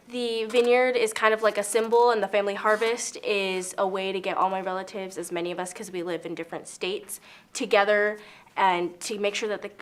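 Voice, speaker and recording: A woman's voice: medium pitch, a little hiss or hum. A young woman giving interview answers in an explanatory, slightly hesitant way.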